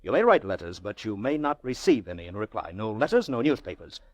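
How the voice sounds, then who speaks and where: Pompous rich gentleman, swooping theatrical delivery. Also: lowish voice, big pitch swings, no echo. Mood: brisk, commanding. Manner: pompous, rhetorical, drawn-out emphasis. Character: haughty, very wealthy Russian gentleman, goading and theatrical. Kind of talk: old-time radio drama acting.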